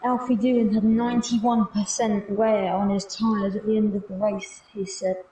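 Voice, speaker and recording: A woman's voice: mid-range voice, level tone, normal speed, dark tone. A woman co-hosting a casual stream, giving brief, matter-of-fact replies. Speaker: co-host. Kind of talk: casual chat. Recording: echoey, distant from the mic.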